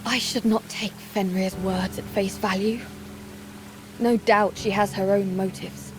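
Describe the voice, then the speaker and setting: An actress playing a proud, stern warrior valkyrie in a video game, speaking formally and with solemn command. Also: female voice, medium pitch, steady tone, unhurried, background noise, natural voice. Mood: troubled, solemn. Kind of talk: scripted video game drama. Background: dramatic music.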